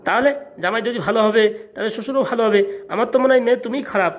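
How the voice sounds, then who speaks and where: A woman's voice: on the high side, racing, lightly acted. A woman playing a self-important, corrupt older male village headman in a comic cartoon, with a rural Bengali accent. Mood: scolding.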